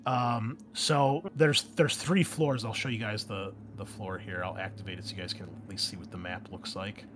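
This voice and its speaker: A man hosting as game master, explaining things casually and informally with a halting delivery. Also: fairly low pitch, steady tone. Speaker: game master and podcast host. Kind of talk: casual explanation and chat on an actual-play podcast. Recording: faint background noise.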